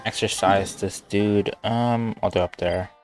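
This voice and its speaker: A man's voice: on the low side, steady tone, measured pace, a little mellow, faint music behind. Laid-back man streaming a game. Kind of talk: casual live gameplay commentary.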